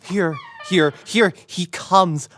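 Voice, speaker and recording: Young woman acting fussy theatrical busybody, lowish pitch, very slow, drawn-out exclamations, Shakespeare audio drama. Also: noisy background. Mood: cooing. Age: young adult. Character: fussy, theatrical meddling go-between, comically sentimental.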